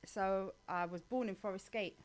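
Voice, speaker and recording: A woman's voice: mid-range voice, steady tone, moderate pace. A woman with a London accent in her thirties, chatting informally and using slang as a guest in a casual interview. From East London, England. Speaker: guest on a talk show.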